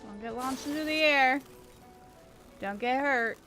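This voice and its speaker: Unhurried, fairly high-voiced woman game streamer. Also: bright, forceful tone, faint music behind. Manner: informal, sweary, reactive. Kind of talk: casual live gameplay commentary.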